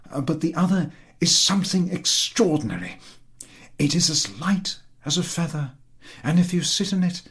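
A man's voice: fairly high pitch, breathy edge, pitched above the performer's own voice, full character voice. A man voicing an eager, boastful landowner who fawns effusively on his superior in a story reading. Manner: obsequious, effusive, over-polite.

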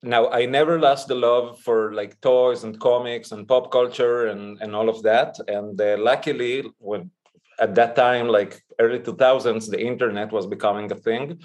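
A man's voice: medium pitch, fairly even intonation, normal speed, darkish tone. A man in his thirties from Israel and Chile, chatting informally as an interview guest and telling personal stories. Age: 30s. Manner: informal, mild swearing. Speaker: podcast guest, toy artist. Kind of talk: casual interview chat, personal storytelling.

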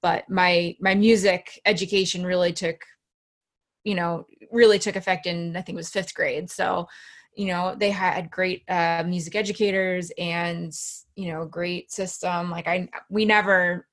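A woman's voice: on the low side, level tone, measured pace. A woman who hosts a podcast, raised in New Jersey, chatting casually and filling her talk with "you know". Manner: filler-heavy, lots of "you know". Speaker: podcast host.

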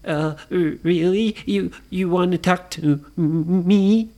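A woman's voice: on the low side, expressive. A woman voicing a timid male ghost in a children's story, hesitant and drawing out the words. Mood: timid, unsure. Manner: hesitant, drawn-out delivery. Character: timid, drawling male ghost named Hector.

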